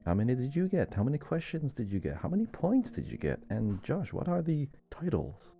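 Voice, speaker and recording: Low voiced guy, super soft dark tone, chatting games on a podcast, faint music. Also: huge pitch swings, a little fast, gravelly. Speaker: podcast co-host. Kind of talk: casual gaming podcast chat.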